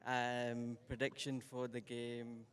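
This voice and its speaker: A man's voice: medium pitch, completely flat delivery, takes it slowly, clean tone. A man from the northwest of England, a football fan answering casually and hesitantly. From Blackburn, northwest England. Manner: casual, hesitant, slightly unsure.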